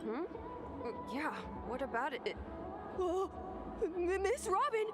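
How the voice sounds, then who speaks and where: A starstruck, naive young video game character. The voice is extremely high and lively, flustered and eager, with little stammers. Music plays behind. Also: female voice, unhurried, full character voice. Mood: flustered, excited. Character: excitable, starstruck, naive young theme park guest.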